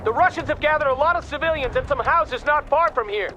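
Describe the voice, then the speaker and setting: An actor playing a gruff, resentful American army captain in a video game, brash and barking orders. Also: male voice, very high voice, brisk, sharp tone, highs cut off, slight background noise. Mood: urgent. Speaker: army captain, company commander.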